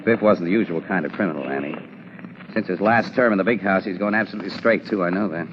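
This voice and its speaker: An American actor in 1940s radio style playing an easygoing, friendly crime photographer who talks in casual slang. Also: male voice, sits low, quick pace, noisy background, close to an everyday voice. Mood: thoughtful. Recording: muffled 1940s radio broadcast, music.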